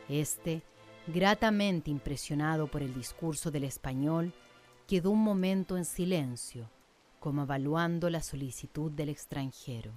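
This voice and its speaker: A woman with a very low voice narrates a scripted radio drama. She tells the story in a measured, literary way, over faint background music. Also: a little mellow.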